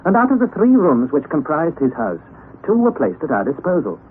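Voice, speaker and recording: A man's voice: a little high, huge pitch swings, a little fast, phone-quality sound. An earnest, sensible young man speaks with theatrical articulation in a vintage radio adventure. The voice moves animatedly over a wide range, heard through a thin, noisy old broadcast. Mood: measured storytelling. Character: sensible, doubtful young nephew narrating an adventure. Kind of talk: old-time radio drama acting and narration.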